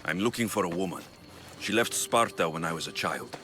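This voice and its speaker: Alexios, intense Greek mercenary, widely varied pitch. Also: male voice, animated, brisk, bright, forceful tone. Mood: earnest, guarded. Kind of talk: dramatic video game cutscene acting.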